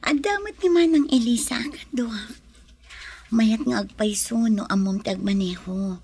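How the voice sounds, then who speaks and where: A woman playing an affectionate young wife in a radio drama, with a breathy, coaxing voice. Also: fairly high pitch, normal speed, a little mellow.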